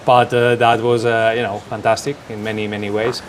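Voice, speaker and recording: A fairly low-voiced man, answering questions earnestly in slightly broken English. He often restarts his sentences. An air conditioner hums clearly behind him. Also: little pitch movement, brisk. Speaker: national team assistant coach, guest. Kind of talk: interview answers. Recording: background noise from air conditioning.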